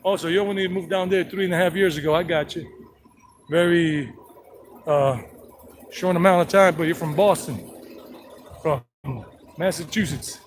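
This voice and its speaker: A man's voice: a little high, normal speed, pauses often, slight background noise, poor audio. Chatty man on a street walk. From South Carolina, United States. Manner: chatty, rambling, jokey, talks to companions and passersby. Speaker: tour guide vlogger. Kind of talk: casual walking tour commentary. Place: outdoors on a town street and park.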